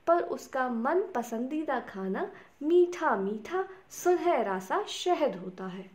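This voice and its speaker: A woman narrating a scripted bedtime story for children, speaking to them directly in simple words. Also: high-pitched. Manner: addresses small children directly with simple questions and repeated words.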